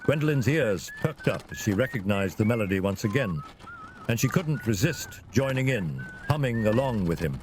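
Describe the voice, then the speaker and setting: A man narrating a scripted fantasy adventure in a dramatic storytelling style. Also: mid-range voice. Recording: clear vehicle and ambience noise behind.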